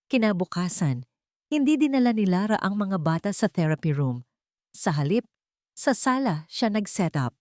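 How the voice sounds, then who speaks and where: Woman narrating a story in a soft voice. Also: fairly low pitch, no room sound, studio quality. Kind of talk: dramatic audiobook narration.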